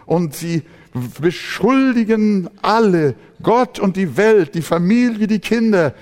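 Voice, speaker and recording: A man's voice: high-pitched, lively intonation, slow, darkish tone. A man preaching a sermon as pastor, impassioned, sometimes mimicking grumbling churchgoers. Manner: impassioned preaching, acts out complaining voices. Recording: long hall reverb.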